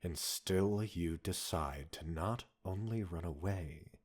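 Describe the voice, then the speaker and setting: A man playing a cold, condescending villain scientist in an audio roleplay, a possessive captor speaking in a measured, mocking, formal way. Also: sits low. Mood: possessive, aggrieved. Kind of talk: dramatic audio roleplay acting addressed to listener.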